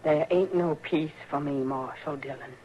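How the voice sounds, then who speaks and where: A woman with a rural American accent playing a bitter, grief-stricken frontier mother in a radio western, stark and halting. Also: sits low, close to an everyday voice. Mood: bitter, resolved. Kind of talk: 1950s radio western acting. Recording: muffled, noisy old radio recording.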